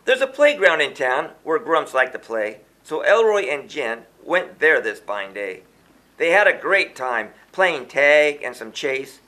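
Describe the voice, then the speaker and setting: A man narrates a children's story in verse. His voice sits high and swings widely in pitch. He leans into the rhythm theatrically, like a storybook reader performing for young listeners. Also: very animated, a little slow, bright, forceful tone. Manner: theatrical, sing-song rhythmic delivery. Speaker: children's book author reading aloud.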